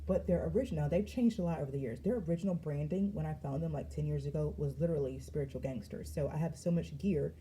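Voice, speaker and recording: A woman's voice: low voice, fast talker, few pauses, a little hiss or hum, rough recording. A woman tarot reader talking in a slangy, emphatic way during a casual spiritual reading. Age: adult. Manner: lively, slangy and emphatic, with frequent laughs.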